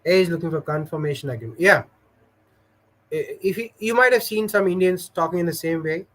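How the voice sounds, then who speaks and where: A man's voice: fairly high pitch, fairly quick, a bit bright. A man hosting a casual livestream, chatting with viewers in a rambling, hesitant way full of fillers, and telling stories. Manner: storytelling. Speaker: livestream host. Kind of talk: casual livestream chat, reading viewer comments.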